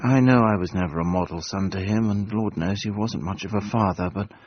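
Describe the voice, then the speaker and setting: An actor playing a pompous, grandiloquent English gentleman adventurer with an English accent, in a radio drama. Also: on the low side, a little fast, dull audio, a little hiss or hum, lightly acted. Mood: subdued, grieving. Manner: grandiloquent, declamatory.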